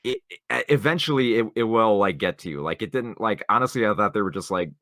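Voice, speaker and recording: A man with a deep, bright voice talks films as a podcast co-host. He rambles informally, stopping and restarting as he goes, on a close, dry recording. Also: expressive, no echo. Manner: rambling, halting, informal with profanity. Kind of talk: casual film discussion.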